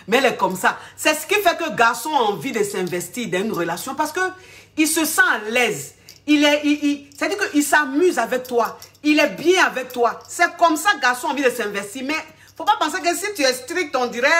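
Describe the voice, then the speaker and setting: A woman relationship coach with a cold, talking in an animated, repetitive way and calling her listener darling. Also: a little high. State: has a cold. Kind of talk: casual advice vlog talk.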